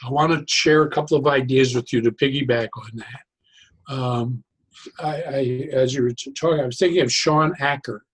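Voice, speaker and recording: A man explaining ideas conversationally as an interview guest, in an animated, halting way, often repeating and interrupting himself. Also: medium pitch, breathy edge. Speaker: podcast guest, psychologist turned joy advocate.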